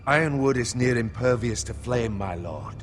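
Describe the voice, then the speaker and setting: An actor playing a loyal, composed counselor to a young lord in a fantasy game, speaking in a measured, formal, deferential way. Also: male voice, medium pitch, expressive, soft background music, close to an everyday voice. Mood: formal, careful.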